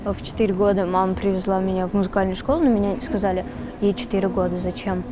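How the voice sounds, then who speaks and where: A young woman singer answering interview questions in a relaxed, chatty way, with many fillers. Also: mid-range voice, fast talker, a crowd in the background. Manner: relaxed, chatty, switches between Russian and Tatar, many fillers. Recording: noisy background with traffic.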